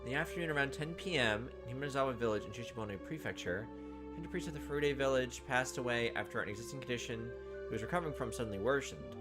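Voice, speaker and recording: A man's voice: medium pitch, fast talker. A man reading game text aloud as a let's play host, adding informal, playful commentary. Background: game music.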